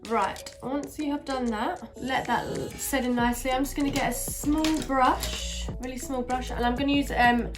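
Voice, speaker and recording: A woman's voice: high voice, steady tone, moderate pace, hardly any pauses, over music. A woman with a stuffy, nasal voice from a cold, chatting casually through a makeup tutorial with lots of fillers. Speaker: beauty vlogger.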